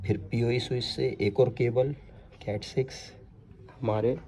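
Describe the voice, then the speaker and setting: A man's voice: mid-range voice, steady tone, slow pace, dark tone, a little hiss or hum. A man giving an unscripted, step-by-step hands-on installation demo, mixing in English technical terms. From India. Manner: step-by-step explaining with English technical words mixed in. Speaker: product installation demonstrator.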